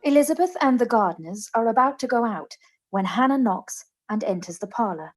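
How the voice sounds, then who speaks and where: Husky woman narrating. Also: a little high, animated, a little fast, soft background music. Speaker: narrator reading stage directions. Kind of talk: scripted narration for a radio play.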